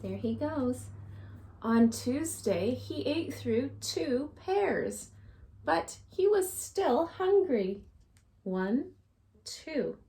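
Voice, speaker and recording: A woman in her thirties speaking as a teacher to young children, reading a picture book and explaining it simply in a deliberate, instructive way. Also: sits high, big pitch swings, dark tone. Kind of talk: expressive picture-book read-aloud and simple lesson for children. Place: small living room, indoors.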